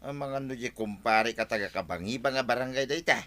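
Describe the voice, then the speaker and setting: A man's voice: mid-range voice, fast talker, a bit bright, natural voice. A man with an Ilocano accent playing a chummy, boastful village husband in a Filipino radio comedy drama. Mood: boastful, pleased.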